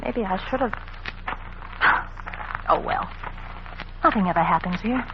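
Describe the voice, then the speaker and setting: A woman's voice: medium pitch, a little slow, lightly acted. An actress playing a guarded, proud young woman in an old-time radio drama, with a dramatic delivery. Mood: alarmed, wary. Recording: muffled, noisy old radio recording.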